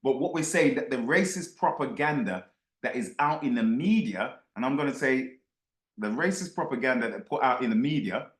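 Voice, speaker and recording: A man's voice: medium pitch, expressive, normal speed. A man giving impassioned off-the-cuff commentary to his followers, emphatic, repeating phrases and throwing rhetorical questions at listeners. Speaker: book club host. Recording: roomy, echoey sound.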